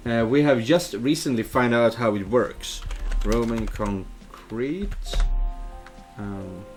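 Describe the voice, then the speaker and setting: A man's voice: mid-range voice, takes it slowly, music in the background. A man giving casual let's play commentary, hesitant and thinking aloud as he reads game text. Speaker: video game let's player and dubber.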